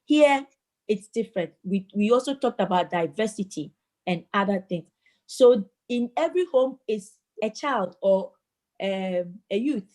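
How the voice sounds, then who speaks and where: A woman's voice: medium pitch, animated, a little slow, a little mellow. An earnest woman from Ghana speaks in an online community meeting. She leads the talk and sometimes stammers on repeated words before a thought lands. She sounds like she is on a video call. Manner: earnest, repeats words when starting sentences. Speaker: participant moderating a community discussion.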